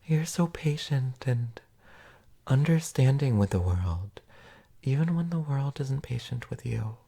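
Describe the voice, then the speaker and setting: A woman voicing a tender, reassuring partner in a comfort roleplay, speaking softly and breathily with gentle pauses, often near a whisper. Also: rumbling low, expressive, gravelly, husky, full character voice. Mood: earnest, affectionate. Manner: gentle, soothing, unhurried, with pauses. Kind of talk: soft-spoken comfort roleplay audio, ASMR.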